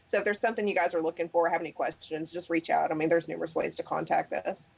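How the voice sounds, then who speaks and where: A woman's voice: deep voice, steady tone, fast talker, creaky, breathy edge, lo-fi sound. A woman from Russia with an American accent, chatting casually as a guest breeder. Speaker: guest, exotic animal breeder. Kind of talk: casual chat.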